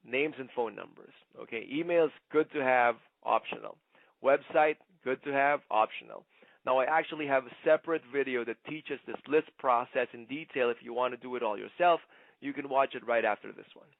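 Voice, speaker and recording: A man giving an instructional sales presentation like a trainer, conversational but emphatic, often checking in with a quick "okay?". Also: mid-range voice, vocal fry, dry recording. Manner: conversational, punctuates points with "okay?". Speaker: network marketing trainer. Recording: muffled, narrow phone-like sound.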